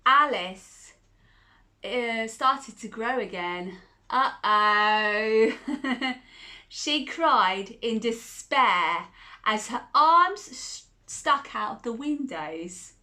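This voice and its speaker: A woman's voice: on the high side, sharp tone. A woman reading a picture book aloud to children in a cozy, playful way, with chatty asides and laughs between the lines.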